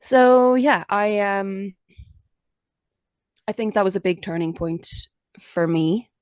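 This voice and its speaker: A woman's voice: medium pitch, slow pace, darkish tone, clear, resonant tone, dry recording, studio quality. A young adult Irish woman in a casual interview, talking candidly and chattily with lots of restarts and fillers. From Ireland. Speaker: interview guest, musician.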